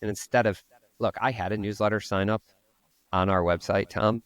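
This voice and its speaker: Deep-voiced man explaining marketing tools. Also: fairly even intonation, moderate pace, no room sound. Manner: explains step by step, thinking aloud. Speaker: podcast co-host. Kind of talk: casual marketing podcast chat.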